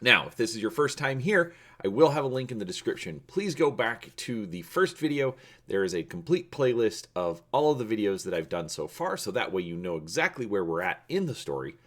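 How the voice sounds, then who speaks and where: A man hosting and dramatically reading an adventure story, deliberate and stretching words for emphasis. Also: mid-range voice, very animated, a bit bright. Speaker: video host and storybook narrator.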